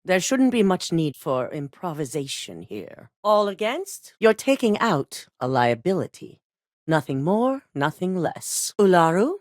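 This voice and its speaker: A cool corporate CEO. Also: female voice, lowish voice, big pitch swings, measured pace, a little mellow, dry recording. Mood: controlled. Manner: curt, authoritative, businesslike. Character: cool, commanding woman CEO of a megacorporation. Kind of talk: video game voice acting. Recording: dry studio recording.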